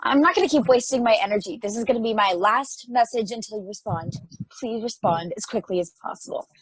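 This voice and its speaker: A woman's voice: on the high side, fairly quick, breathy edge, no echo, low-quality recording. A woman playing a lonely, frightened space probe in a play table read, hesitant and pleading. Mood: frustrated, urgent.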